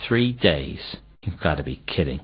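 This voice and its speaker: A man's voice: a bass voice, big pitch swings, normal speed, slightly rough, heavily acted. An actor playing a shifty, dim, cowardly city watchman in a comic video game, hesitant and evasive, forever making excuses. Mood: dim, curious. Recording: dull, muffled old console game audio.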